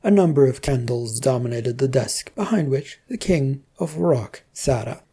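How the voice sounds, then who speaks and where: A woman's voice: rumbling low, expressive, measured pace, dark tone, slightly rough. A woman reading scripted fiction aloud as the narrator of a story.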